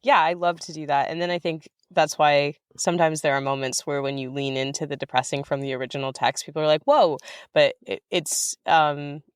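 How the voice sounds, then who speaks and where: A woman's voice: low voice, fairly even intonation, a little fast, clean tone, no room sound, clean studio sound. A woman chatting casually as a podcast guest, playful and wry in how she talks. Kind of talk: casual chat.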